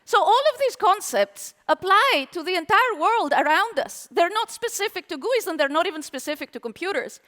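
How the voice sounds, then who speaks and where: A woman with a very high-pitched voice giving a lively, playful tech talk at a live conference, asking the audience questions. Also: normal speed, hardly any pauses, big-room sound. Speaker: conference speaker.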